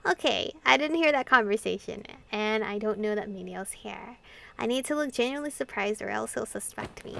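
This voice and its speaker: A woman's voice: a little high, moderate pace, gravelly, fairly bright tone. A young adult woman playing a visual novel, reading dialogue and narration aloud expressively with casual, giggly reactions. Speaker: gaming content creator. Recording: game music behind the voice.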